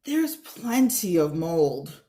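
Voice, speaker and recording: A woman's voice: fairly low pitch, very animated, extremely slow, warm tone, full character voice. Woman reading as a snappy, sarcastic young girl. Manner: snappy, taunting. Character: bickering, sarcastic young girl with a sharp tongue. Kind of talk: children's storybook read-aloud.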